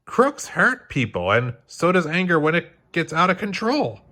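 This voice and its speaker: A man's voice: fairly high pitch, very animated, moderate pace, bright, forceful tone, voice pushed up, heavily acted. A man voicing a goofy, naive male cartoon vegetable detective, oblivious and matter-of-fact, in a children's storybook. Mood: earnest.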